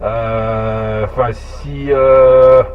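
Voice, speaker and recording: A man chatting casually over amateur radio in a halting way, repeating words as he thinks. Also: medium pitch, fairly even intonation, faint music behind. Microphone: two-way radio transmission. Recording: muffled two-way radio, faint noise.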